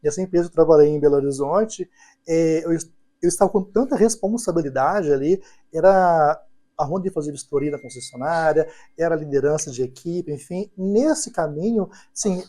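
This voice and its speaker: A man's voice: on the high side, normal speed, warm tone. A young man speaking as a psychologist guest on a podcast, affirming and telling stories. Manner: affirming, storytelling, frequent "né" and "perfeito". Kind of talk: podcast interview chat.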